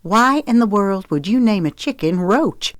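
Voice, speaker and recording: A woman's voice: medium pitch, animated, measured pace, no room sound, lightly acted. A woman narrator voices a grown daughter in an audiobook. She is exasperated and incredulous, asking things with indignant emphasis, and the sound is dry and close.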